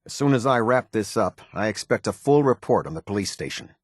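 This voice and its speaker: A low-pitched, stern airship captain from a video game. He speaks with formal, clipped military authority in a husky voice that moves expressively up and down, recorded close and dry. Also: animated, brisk, no echo. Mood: commanding. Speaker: military officer giving orders and briefings. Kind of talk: scripted video game voice acting.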